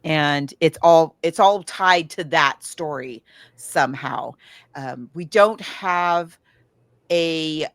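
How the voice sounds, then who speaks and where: A woman's voice: low voice, takes it slowly, dry recording, studio quality. An American woman co-hosting a podcast, telling stories in a casual, conversational way and often backtracking as she goes. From United States. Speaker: podcast co-host. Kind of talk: casual book and film discussion.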